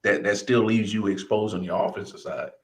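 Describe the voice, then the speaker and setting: A man's voice: lowish voice, steady tone, a little fast. A man from Kansas City, Missouri, in casual sports banter as a fan, slangy and profane.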